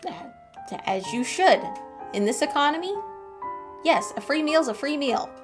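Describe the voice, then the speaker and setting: A woman's voice: sits high, lively intonation, normal speed. A woman streamer giving casual, lighthearted, chatty commentary while playing through a game. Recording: game music behind the voice. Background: game music.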